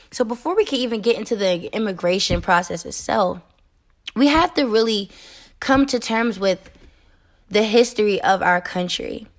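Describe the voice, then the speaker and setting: A young American woman hosting a solo podcast, talking in an earnest, emphatic way with frequent "um" and "okay?". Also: medium pitch. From United States. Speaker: podcast host.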